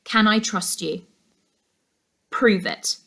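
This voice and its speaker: A woman's voice: mid-range voice, slow pace, vocal fry, pushed and bright, full character voice. Woman reading as solemn, desperate schoolboy. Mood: solemn, urgent. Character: shy, desperate schoolboy writing a farewell letter.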